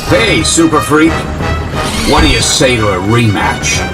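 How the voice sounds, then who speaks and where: A man plays a vengeful cyborg supervillain in a video game. His mid-pitched voice moves animatedly from sardonic to threatening, with a taunting sneer and music behind it. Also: lively intonation, a little slow, natural voice. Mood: taunting, commanding.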